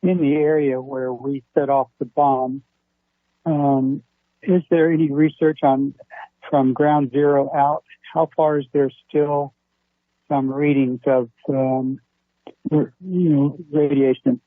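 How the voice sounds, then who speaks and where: Male phone-in caller, slow and monotone, hesitant, narrow telephone sound. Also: mid-range voice, no echo. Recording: dull, lo-fi phone call.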